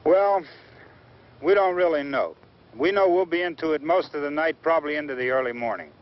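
Actor as unfazed folksy American sheriff, higher-pitched. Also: male voice, a little fast, close to an everyday voice. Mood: matter-of-fact, unfazed. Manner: matter-of-fact, folksy. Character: plainspoken, unfazed male small-town sheriff leading a posse. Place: outdoors. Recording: dull, noisy old outdoor film sound.

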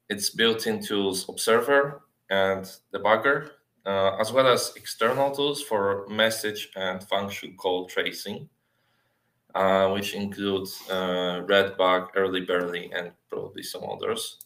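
Man, lowish pitch, technical presenter, hesitant delivery, many filled pauses, echoey room sound. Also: fairly bright tone. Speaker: software developer giving a talk. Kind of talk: technical conference presentation over slides. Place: small plain indoor room, on a video call. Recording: roomy, echoey webcam sound.

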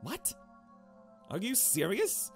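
A man voicing a brisk, commanding woman in visual novel dialogue, curt and urgent in manner. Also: sits high, huge pitch swings, vocal fry, soft background music, voice pushed up, full character voice. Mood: brisk, alarmed.

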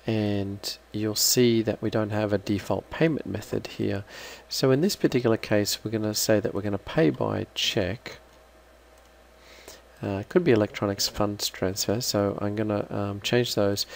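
A man giving an unscripted, halting step-by-step software walkthrough as a trainer. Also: lowish voice, creaky. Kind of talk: screen-recorded software walkthrough.

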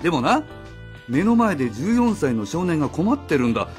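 A man's voice: fairly high pitch, very animated, moderate pace, a little mellow, soft background music. A man playing an easygoing, evasive drifter in a radio drama, talking casually and hesitantly, with slangy phrasing. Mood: protesting. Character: easygoing, evasive drifter posing as a boy's father. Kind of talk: Japanese radio drama acting.

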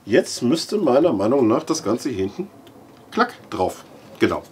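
A middle-aged man talks through a hands-on product demonstration. His voice sits at an average pitch with a rough, husky edge. He speaks casually and without a script, pausing and thinking aloud as he works. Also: big pitch swings, a little mellow. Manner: halting, thinking aloud while handling parts. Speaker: aquarium product reviewer. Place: indoor room with large aquarium.

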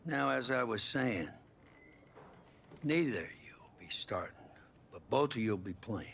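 A man's voice: medium pitch, lively intonation, moderate pace, gravelly, fairly bright tone, slight background noise. A man playing a stern, blunt, authoritative college football head coach in a video game cutscene.

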